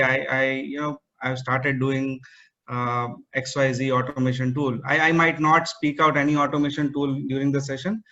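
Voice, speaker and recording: A man giving an online meetup talk, pausing often with fillers and restarting his sentences. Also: medium pitch, a bit bright. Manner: hesitant, many fillers and restarts. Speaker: guest speaker. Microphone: video call. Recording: muffled call audio.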